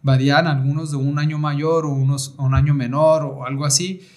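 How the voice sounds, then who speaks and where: A young adult man from Baja California, a podcast guest telling his life story in relaxed conversation full of slang and fillers. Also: on the high side, normal speed. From Mexico (Baja California). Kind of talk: casual conversation.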